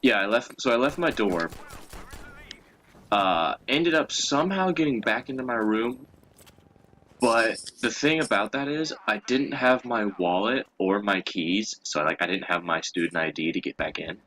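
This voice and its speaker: Deep-voiced young man, bright and crude. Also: moderate pace, a little hiss or hum. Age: young adult. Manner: crude, profanity-laden. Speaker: co-host. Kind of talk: casual chat, storytelling.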